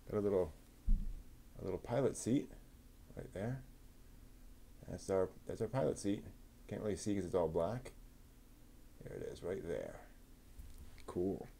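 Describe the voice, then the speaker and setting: Slow, mumbling man building a model kit. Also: lowish voice, vocal fry, darkish tone, slight background noise. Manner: mumbling to himself while working, unhurried. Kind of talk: muttered build commentary.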